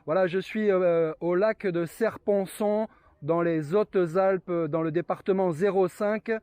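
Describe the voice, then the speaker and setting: A man's voice: high-pitched, steady tone, measured pace, dark tone, smooth, dry recording. A man operating a radio, exchanging call signs and code words and repeating key phrases to confirm them.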